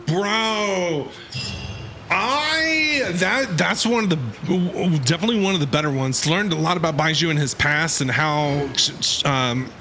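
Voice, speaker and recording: A man's voice: on the high side, expressive, a little slow, sharp tone, slight background noise. A man streaming a game with casual, slangy commentary, repeating words for emphasis. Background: faint game music.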